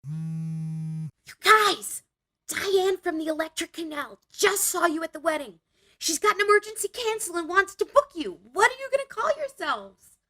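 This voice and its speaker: A woman plays a wry young punk-scene storyteller in a motion comic. Her voice is high and swings widely as she narrates with dry, dramatic pauses. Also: very animated, sharp tone, full character voice. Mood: excited. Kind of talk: scripted first-person storytelling in a motion comic.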